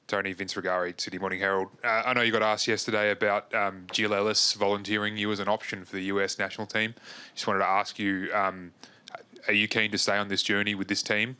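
Bright, smooth-voiced man reporter. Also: on the low side, little pitch movement, fast. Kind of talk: asking a press question.

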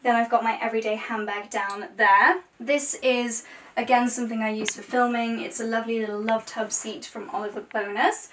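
Echoey, higher-pitched young English woman vlogging. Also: steady tone, moderate pace, a bit bright. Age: young adult. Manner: informal, chatty presenting. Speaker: lifestyle vlogger and host. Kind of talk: chatty house tour to camera. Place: rooms of a house, indoors. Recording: echoey rooms, distant mic, some noise.

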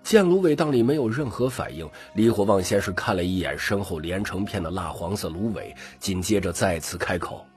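A man's voice: sits low, animated, brisk, few pauses, faint music behind. A man narrating scripted fantasy fiction dramatically as an audiobook reader.

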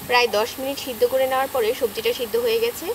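Brisk woman explaining a recipe. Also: fairly high pitch, noisy background. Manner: calm, explanatory, gives tips. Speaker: cooking channel host. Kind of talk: step-by-step recipe narration. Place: outdoors on grass, cooking on a stove.

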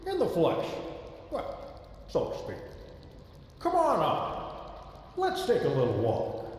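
Showy smooth-talking studio founder, voice swoops way up and down, big echoey hall. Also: male voice, fairly high pitch, wildly swooping pitch, slow, a little hiss or hum, exaggerated voice. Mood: welcoming. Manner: grand, confiding, theatrical. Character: smooth, self-assured old studio founder, theatrical. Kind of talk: video game cutscene acting. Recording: roomy reverberant game audio with ambience.

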